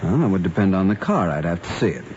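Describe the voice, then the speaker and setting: Middle-aged American dad, low-pitched, firm and worried, old radio drama on a muffled recording. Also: male voice, very animated, fast, darkish tone, slight background noise. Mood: firm, calmer. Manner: firm, earnest, emphatic. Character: stern, worried father, a banker, laying down the law. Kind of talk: mid-century American radio drama acting.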